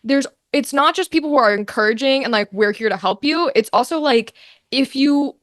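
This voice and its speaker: Female interview guest, slightly high voice, casual and expressive, performer's flair, very dry sound. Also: moderate pace, dry recording. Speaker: guest, actor and game master.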